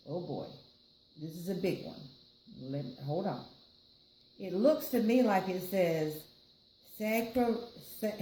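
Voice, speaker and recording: A woman's voice: medium pitch, mellow. An American woman reading a picture book aloud to children, adding chatty explanatory asides as she goes. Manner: explanatory, chatty, addressing listeners and co-reader. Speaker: library storytime reader. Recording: roomy echo, faint background noise.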